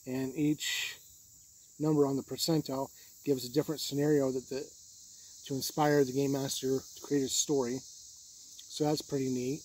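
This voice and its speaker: A man with a husky, somewhat high voice talks through a review off the cuff. His delivery is plain-spoken and matter-of-fact, like an informal hobby reviewer explaining how things work. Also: mellow. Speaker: tabletop game reviewer. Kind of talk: informal unscripted review to camera.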